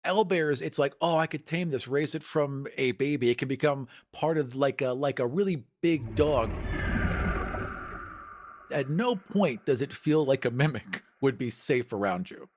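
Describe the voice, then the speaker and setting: A man's voice: medium pitch, unhurried. A man co-hosting a podcast, chatting casually and conversationally.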